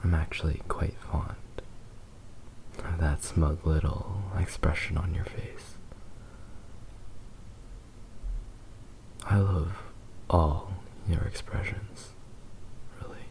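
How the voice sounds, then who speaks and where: A man playing a possessive, doting kitsune fox boy in a slow, tender, intimate whispered ASMR roleplay. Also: a bass voice, fairly even intonation, gravelly, a little mellow, heavily acted. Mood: fond.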